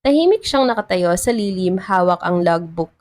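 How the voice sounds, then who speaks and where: A woman's voice: fairly low pitch, animated, moderate pace, a little mellow. A woman narrator reading a scripted romantic story.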